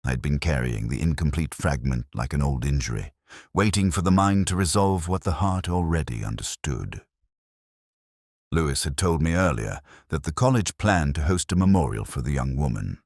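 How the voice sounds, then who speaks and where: A man voicing a weary, melancholy older police detective in a sombre, reflective, measured first-person mystery narration. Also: a bass voice, animated, a little mellow, no echo, clean studio sound, exaggerated voice.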